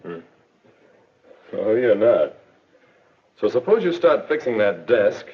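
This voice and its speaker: A middle-aged American man as a patient schoolmaster in a 1940s educational film. His low voice is slightly husky and moves expressively through measured, gently lecturing lines. The soundtrack is dull and lo-fi. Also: sing-song, exaggerated voice. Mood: earnest, lecturing. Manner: measured, didactic. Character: calm, patient male school authority figure, gently lecturing. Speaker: school principal or teacher.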